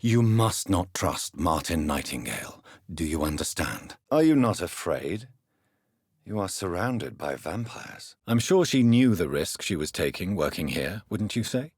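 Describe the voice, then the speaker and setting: A man playing an earnest, courteous English gentleman doctor in a video game, speaking formally and asking measured questions. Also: deep voice, slightly rough, no room sound, clean studio sound, full character voice. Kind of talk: video game character dialogue.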